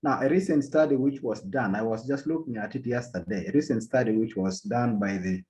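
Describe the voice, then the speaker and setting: A man from Uganda giving an academic policy talk as a panel speaker, halting often and repeating words as he restarts his sentences. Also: medium pitch, warm tone. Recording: dull lo-fi sound.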